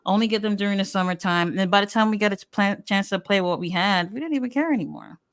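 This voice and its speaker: Smooth-voiced woman, chatty and candid. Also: medium pitch, fast. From United States. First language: Haitian Creole. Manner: candid, chatty storytelling with frequent fillers. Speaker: show host. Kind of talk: casual chat.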